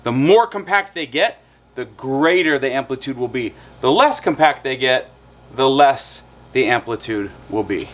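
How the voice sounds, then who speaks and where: A man's voice: mid-range voice, expressive, a little slow. A man in his thirties giving a recorded psychology lecture outdoors in a backyard, explaining with a guitar and a whiteboard. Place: outdoors in a backyard patio and garden.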